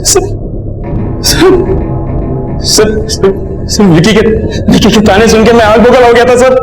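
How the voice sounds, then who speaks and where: A man's voice: high voice, unhurried, rough recording. An Indian man playing an agitated, frightened suspect in a TV crime drama, pleading and repeating himself nervously. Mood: panicked confession. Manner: pleading, stammering repetitions. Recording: loud dramatic background music.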